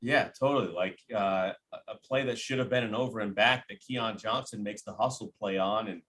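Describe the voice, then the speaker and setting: A man's voice: fairly low pitch, brisk, fairly bright tone, a little hiss or hum. A man who works as a play-by-play announcer, giving casual sports analysis as a podcast guest.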